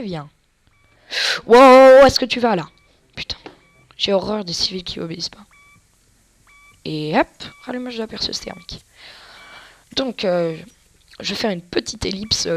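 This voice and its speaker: A woman's voice: medium pitch, expressive, measured pace, pauses often. A woman with a cold giving casual, crude, joking gameplay commentary and explaining tactics to viewers as a let's play gamer. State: has a cold.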